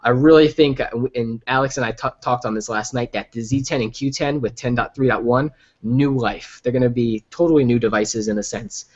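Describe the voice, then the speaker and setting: A man with a medium-pitched voice hosts a casual tech podcast. He gives commentary and steers the panel discussion. The audio is slightly dull and lo-fi. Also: brisk. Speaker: podcast host.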